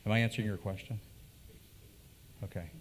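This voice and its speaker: A man with a fairly low voice co-presenting at a conference. He talks animatedly to the crowd, often restarting and repeating words mid-thought. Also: fairly even intonation, a little fast, noisy background. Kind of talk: conference talk answering audience questions.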